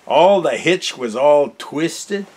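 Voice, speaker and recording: A man in his sixties with a Canadian accent, telling stories casually in a folksy, halting, jovial way as a vlog host. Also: on the high side, animated, darkish tone, slightly rough. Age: 60s. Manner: folksy, halting, often tags sentences with "eh"; jovial, chuckles often. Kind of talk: casual rambling storytelling to camera. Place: cluttered garage workshop, indoors.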